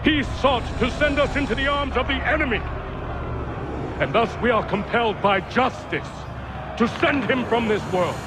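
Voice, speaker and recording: An actor playing a solemn, pompous colonial orator in a video game, declaiming grandly as if to a public gathering. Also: male voice, very high-pitched, normal speed, over music. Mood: solemn, indignant. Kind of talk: dramatic video game acting.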